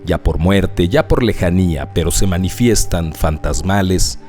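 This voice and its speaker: A man reads a quoted passage in a very low voice. His delivery is solemn and unhurried. Soft music plays behind him. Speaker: reader of a quoted text. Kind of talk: scripted reading.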